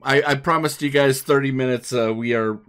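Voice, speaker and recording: Male host, average pitch, conversational, steering a relaxed panel discussion. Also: fairly quick, clear, resonant tone. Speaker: podcast host. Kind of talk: casual panel moderation.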